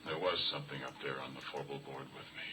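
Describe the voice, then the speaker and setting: An actor playing a haunted, frightened oil driller who tells his horror tale in a halting, intense 1940s radio style, in an old radio drama recording. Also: extremely low pitch, steady tone, soft background music. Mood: haunted, brooding. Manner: halting, intense, swings from hushed whispers to shouts. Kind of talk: 1940s radio horror acting, dramatic first-person monologue.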